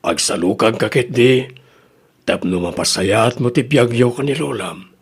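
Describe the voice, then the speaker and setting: A man's voice: mid-range voice, unhurried, husky. An Ilocano-accented actor playing an earnest man in a rural radio drama. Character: grateful reformed ex-convict and his kind farm-owning benefactor.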